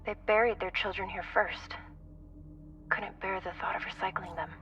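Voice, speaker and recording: A woman's voice: medium pitch, steady tone, normal speed, a bit bright, muffled recording, soft background music, lightly acted. An actress playing a sympathetic female crewmate in a video game, speaking in an earnest, emotional way.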